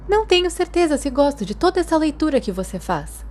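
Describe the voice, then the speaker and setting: A woman's voice: high voice, very animated, fast talker, voice pushed up. Woman playing a kindly, fussing school matron. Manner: motherly, gently scolding.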